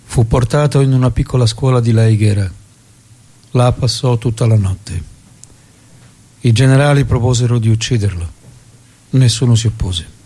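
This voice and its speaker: An actor playing a solemn storyteller, giving scripted dramatic narration in a grave, measured way. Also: male voice, mid-range voice, mellow, close to an everyday voice. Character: solemn male storyteller recounting a revolutionary's death.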